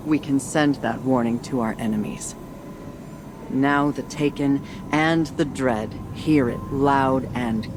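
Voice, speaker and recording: An actor playing a hardened military commander in a video game, grave, measured and resolute. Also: male voice, lightly acted. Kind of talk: dramatic video game cutscene acting. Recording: heavy rumbling ambient noise.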